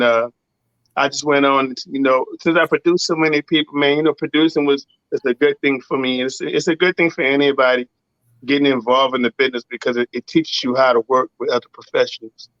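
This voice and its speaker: A man with a rough, raspy voice, a singer and producer talking casually in an interview and often saying "you know what I'm saying". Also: medium pitch, little pitch movement, brisk, fairly bright tone, no echo, lo-fi sound. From Southern US.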